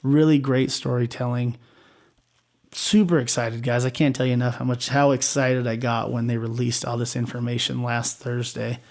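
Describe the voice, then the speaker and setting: A man's voice: mid-range voice, flat delivery, moderate pace, darkish tone. Chatty man giving upbeat fan commentary. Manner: chatty, upbeat, many fillers. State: slightly hoarse. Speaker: video host. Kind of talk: casual enthusiastic fan commentary.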